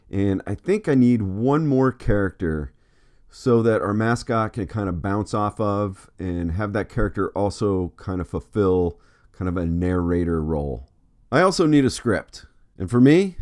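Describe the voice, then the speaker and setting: A man's voice: on the low side, a little mellow. A middle-aged man hosting an art vlog with scripted narration. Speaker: host, artist.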